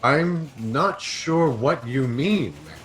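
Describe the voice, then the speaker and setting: A man plays a mild, befuddled space adventurer. His mid-pitched voice is plain and measured, earnest in the middle of a sci-fi comedy. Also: expressive, fairly bright tone, a little hiss or hum. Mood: puzzled. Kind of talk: comic sci-fi audio drama acting.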